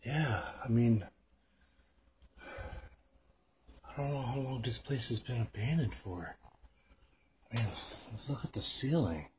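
A man talks off the cuff as he films himself exploring on location. His voice sits at an ordinary male pitch and moves slowly, with muttered exclamations and the odd swear word. Faint noise from the handheld location recording runs under the talk. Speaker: host of an exploring video. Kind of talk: casual off-the-cuff exploring commentary. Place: on location at an abandoned house.

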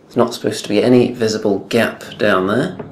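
A man casually narrating his hobby build log in a relaxed, wry, understated way. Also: lowish voice, fairly quick, a little hiss or hum. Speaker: hobby video creator. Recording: echoey home room.

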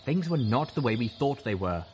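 A man voicing a calm female pegasus soldier with a rich, measured voice in a story reading. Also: long reverb tail, a little hiss or hum, lightly acted. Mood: firm, measured. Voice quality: low, rich.

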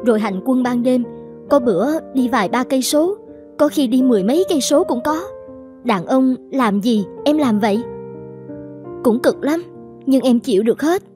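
A woman's voice: sits high, lively intonation, normal speed. A woman voicing an earnest, enduring young woman soldier in a cartoon, with a pleading manner. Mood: determined. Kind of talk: cartoon dubbing. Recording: music behind the voice.

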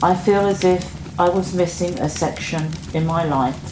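A woman's voice: fairly low pitch, normal speed, close to an everyday voice. A woman playing a soldier's grown daughter, narrating a radio drama with sombre reflection on loss. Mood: sorrowful. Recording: echoey room, clearly noisy background.

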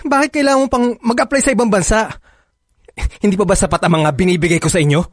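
A man's voice: high-pitched, quick pace, no echo, studio quality. A man playing a possessive, reluctant lover in a radio drama, hesitant and sulky. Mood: resentful.

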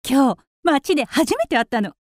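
A woman's voice: high voice, no echo, studio quality, full character voice. A woman playing an impulsive, vain upper-class young wife in a radio drama, coy and theatrical as she coaxes.

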